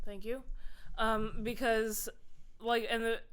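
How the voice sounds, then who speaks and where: A woman's voice: fairly high pitch, little pitch movement, takes it slowly, fairly bright tone, clear, resonant tone. A woman co-hosting a podcast, discussing films in casual conversation. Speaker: podcast co-host.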